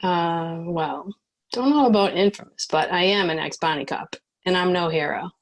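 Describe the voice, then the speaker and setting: A woman's voice: lowish voice, moderate pace, close to an everyday voice, low-quality recording. A woman playing a guarded, modest ex-bounty cop and tough leader, speaking cautiously and matter-of-factly in a screenplay read. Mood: wary. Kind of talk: screenplay table read, dramatic dialogue.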